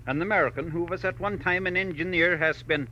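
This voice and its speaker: An actor playing an exacting middle-aged millionaire mine owner in a 1940s radio drama, emphatic and deliberate in his phrasing. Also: high-pitched, pushed and bright. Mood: businesslike. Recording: dull, hissy old radio recording.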